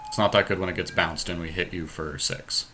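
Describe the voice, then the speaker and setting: A man's voice: low voice, steady tone, fairly quick, pushed and bright, slight background noise. A man hosting a podcast, thinking aloud through his options as he gives casual commentary on a card game he is playing.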